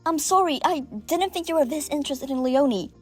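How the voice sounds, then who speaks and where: Actress plays a sharp, gossipy young woman colleague; high, lively delivery. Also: a little fast, faint music behind, close to an everyday voice. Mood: apologetic. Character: clever, chatty young woman coworker. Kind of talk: audio drama acting.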